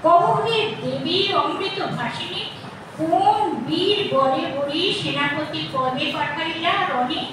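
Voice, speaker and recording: A woman's voice: high-pitched, takes it slowly. A woman with a West Bengali accent giving a solemn, declamatory recitation of epic poetry. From Kolkata, West Bengal. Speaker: workshop student. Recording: echoey noisy hall, distant mic.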